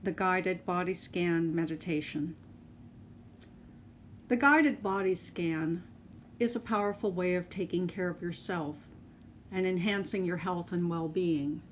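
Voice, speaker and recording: A woman narrating a guided meditation as a mindfulness teacher, in measured, instructional phrases with pauses between them. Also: on the low side. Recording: muffled recording with faint background noise.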